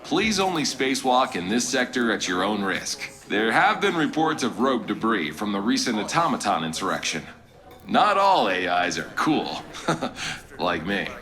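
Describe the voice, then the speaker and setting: An actor playing a playful, smug spaceship computer pilot in an audio drama, with polished announcer delivery and dry sarcasm. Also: male voice, fairly low pitch, big pitch swings, normal speed, bright, forceful tone, exaggerated voice. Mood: smug, formal.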